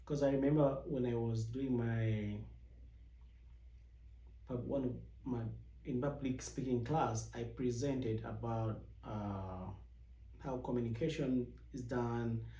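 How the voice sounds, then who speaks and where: Young man from Uganda, vlog host, mellow, rambling storyteller, recorded off-mic in a roomy space. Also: fairly even intonation, slow, recorded from a distance. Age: young adult. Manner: rambling with many restarts, acts out quoted questions in a higher voice. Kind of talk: casual personal storytelling. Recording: echoey room, voice set back from the mic.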